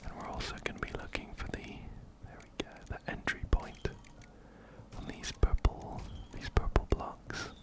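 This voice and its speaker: A man whispering softly and breathily into a close binaural microphone, giving gentle ASMR game commentary and often repeating words rhythmically. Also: a little slow. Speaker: ASMR gaming video host. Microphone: close-up binaural microphone, ear to ear.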